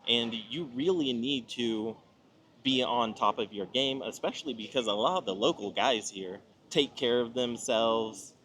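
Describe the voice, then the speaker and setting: An American man from Nebraska hosting an informal advice monologue, talking in a measured, explanatory way with emphatic pauses. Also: medium pitch, fairly bright tone, slight background noise. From Nebraska, United States. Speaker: video host giving travel advice.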